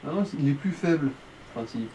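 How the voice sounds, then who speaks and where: Mellow Frenchman, slightly off mic. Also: fairly high pitch, animated, a little fast. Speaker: co-commentator. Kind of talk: casual let's play chat. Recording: distant mic, faint background noise.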